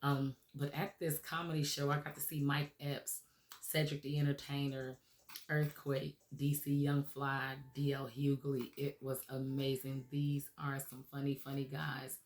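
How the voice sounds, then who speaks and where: A woman hosting a motivational radio show, her voice raspy from recent laryngitis, talking earnestly and encouragingly with many "um"s and "okay?"s. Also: rumbling low, monotone, measured pace, echoey room. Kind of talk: motivational talk show monologue.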